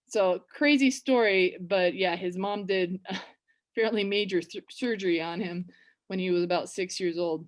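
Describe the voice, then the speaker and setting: Chatty American woman teaching a story. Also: on the low side. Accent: American West Coast. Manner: casual, chatty, lots of fillers and restarts; reads book passages slowly and clearly. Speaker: teacher reading a children's book. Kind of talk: storytelling lesson for English learners.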